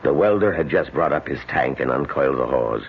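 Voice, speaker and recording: An actor playing a gruff, plain-spoken veteran police sergeant with a gravelly voice in an old-time radio drama. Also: male voice, extremely low pitch, lively intonation, fairly quick, full character voice. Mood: awkward, sympathetic. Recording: dull, hissy old radio recording.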